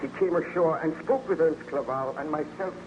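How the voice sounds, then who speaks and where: Man as haunted baron, fearful n earnest, bit high voiced, old radio drama w/ noisy hiss. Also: moderate pace, highs cut off, lightly acted. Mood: weary, troubled. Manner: earnest, melodramatic. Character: haunted, fearful baron obsessed with destroying his creation.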